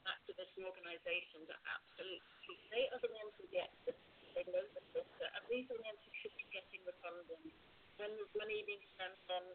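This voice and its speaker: A woman guest answers questions about her charity work in an earnest, explanatory way. Her pitch moves a lot. The sound is thin and phone-like, with a faint hiss behind it. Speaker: guest, charity communications manager. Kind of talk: interview answers over a call.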